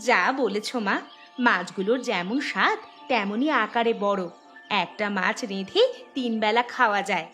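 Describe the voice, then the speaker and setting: A woman's voice: medium pitch, normal speed, bright, forceful tone, soft background music, natural voice. A woman playing an agreeable, chatty village homemaker talking with family in a cartoon story. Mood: contented. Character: agreeable, satisfied village daughter-in-law or daughter. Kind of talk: Bengali cartoon story acting.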